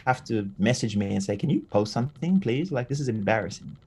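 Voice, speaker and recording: A man's voice: mid-range voice, animated, quick pace, darkish tone, husky. A man in his thirties, raised in Fiji, telling stories as an interview guest, tagging lines with "yeah?" and "right?". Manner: chatty, keeps adding "yeah?" and "right?". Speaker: interview guest, jiu-jitsu coach. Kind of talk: casual storytelling interview.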